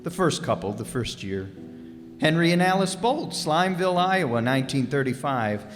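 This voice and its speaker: A man's voice: a little high, sing-song, moderate pace. A man delivering dramatic scripted narration for a radio play. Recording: echoey hall, music behind.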